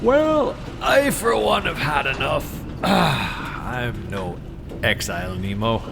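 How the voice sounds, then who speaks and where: A man's voice: mid-range voice, big pitch swings, slow, creaky, noisy background, full character voice. An actor playing a brash, restless whaler in an audio drama, blunt, mocking and challenging in his delivery. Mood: fed up, defiant.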